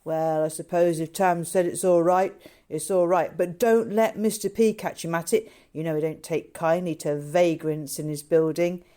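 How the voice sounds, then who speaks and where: Woman voicing a gruff, blunt young zookeeper man. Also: lowish voice, normal speed, mellow, natural voice. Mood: gruff grumbling. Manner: blunt, colloquial, admonishing. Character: gruff young male zookeeper, scolding but kindly. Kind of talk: character dialogue in a novel reading.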